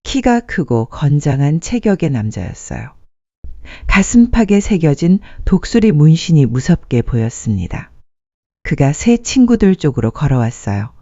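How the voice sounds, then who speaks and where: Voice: medium pitch, sing-song, measured pace, mellow, dry recording. A narrator reading a scripted dramatic story as a storyteller. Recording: muffled dry studio sound.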